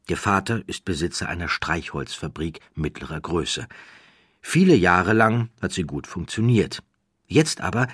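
Very deep, crisp male narrator. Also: a bit bright, no room sound, clean studio sound. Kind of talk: scripted documentary audiobook narration.

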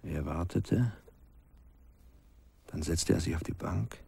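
Grim villager, very husky breathy voice, slow deliberate storytelling, brooding, radio drama. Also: male voice, sits low, no echo, heavily acted. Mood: grim, intense. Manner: deliberate, hushed, with pauses.

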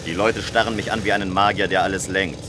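Actor as deep-voiced, irritated male builder, noisy scene. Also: fairly even intonation, quick pace, lightly acted. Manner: pointed, argumentative. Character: proud, irritated male tower builder, blunt and sceptical. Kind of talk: radio play dialogue.

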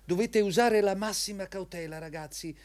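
An actor playing an anxious, servile employee in a radio drama, rambling and repeating himself obsequiously to please the boss. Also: male voice, high-pitched, moderate pace, lightly acted. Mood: deferential, reciting.

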